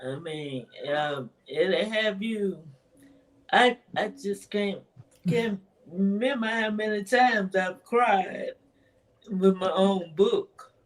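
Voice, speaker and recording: A woman with a soft voice, speaking hesitantly in a casual interview about her own writing, with frequent laughter. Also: medium pitch, slow pace. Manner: hesitant, rambling, laughs often. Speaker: interviewee, author. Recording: voice from far off the mic.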